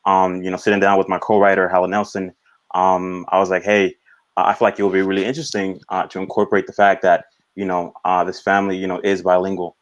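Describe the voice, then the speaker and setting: A native Swahili-speaking man with a very low voice gives casual interview answers as a film director. He talks haltingly, padding his phrases as he goes. The audio is dull and lo-fi. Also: flat delivery. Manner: halting, repeats "you know".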